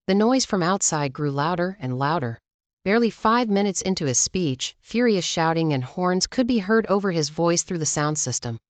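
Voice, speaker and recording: A woman's voice: lowish voice, no echo. A woman delivering scripted news narration as a channel's narrator.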